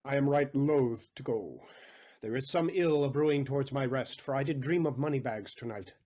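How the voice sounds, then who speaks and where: A man's voice: mid-range voice, normal speed, mellow, close to an everyday voice. A man playing a bitter, suspicious old miserly father, a moneylender, in a Shakespearean audio play. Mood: grudging, uneasy.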